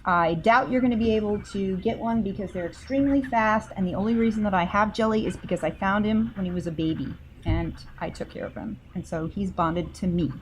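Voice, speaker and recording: A woman's voice: mid-range voice, fairly quick, few pauses, a little hiss or hum, low-quality recording. A woman playing a character in a tabletop game, speaking earnestly with some hesitant fillers. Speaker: player.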